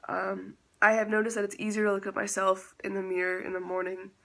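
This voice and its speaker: A man's voice: high voice, monotone, a little fast, vocal fry, sharp tone. Chatty young man with a stuffy nose. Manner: chatty, self-teasing, laughs often. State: stuffy nose, slightly sick. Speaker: vlogger. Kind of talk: casual chatty update.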